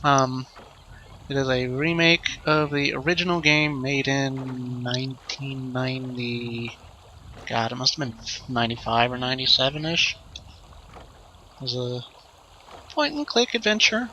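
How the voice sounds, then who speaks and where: A man hosting a let's play with casual, improvised commentary, rambling and thinking out loud as he goes. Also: medium pitch, unhurried, pauses often, a little hiss or hum, low-quality recording.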